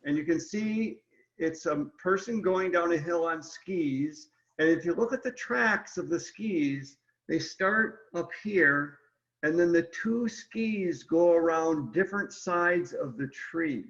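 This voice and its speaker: Higher-pitched man chatting in an echoey room. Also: animated, slow, a bit bright. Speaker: picture book illustrator, guest. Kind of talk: casual explanatory chat about art. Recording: roomy echo, slightly dull lo-fi sound.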